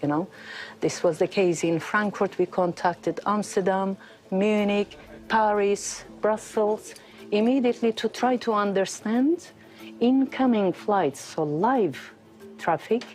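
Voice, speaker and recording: A woman giving an unscripted interview explanation as an official, in halting, pieced-together phrases. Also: mid-range voice, a little mellow, soft background music. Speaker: air traffic network official being interviewed.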